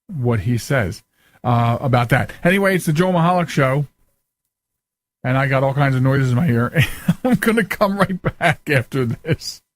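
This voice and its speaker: A man's voice: mid-range voice, no room sound. A man hosting a radio interview in a conversational, rambling way, circling back and repeating phrases. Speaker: radio show host.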